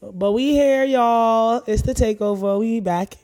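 Mellow-voiced woman hyping her podcast. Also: a little high, a little slow. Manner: playful, hypes the audience with slangy asides. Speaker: podcast co-host. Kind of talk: casual chat.

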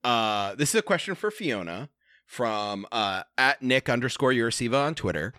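A young man hosting a tabletop game podcast in animated, casual chat. He swears freely and laughs often. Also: medium pitch, normal speed, clear, resonant tone, no room sound, studio quality. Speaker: game master and podcast host.

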